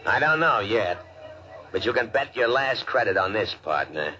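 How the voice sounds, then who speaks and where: Blunt, low-voiced circus schemer. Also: male voice, sing-song, normal speed, pushed and bright, a little hiss or hum. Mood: cool, menacing. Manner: blunt, coolly bargaining. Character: scheming, blunt circus cat handler turned saboteur. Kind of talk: 1950s radio serial acting. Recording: old radio recording with crowd murmur.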